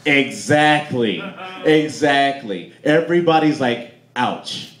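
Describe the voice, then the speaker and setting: Animated American stand-up comic, brash man. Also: mid-range voice, unhurried. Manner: brash, animated, swearing, talking back to hecklers. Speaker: stand-up comedian at a roast. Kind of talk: stand-up roast, profane crowd banter. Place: club stage before a live audience. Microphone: handheld stage mic through PA, filmed from the crowd. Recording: roomy club PA with audience noise.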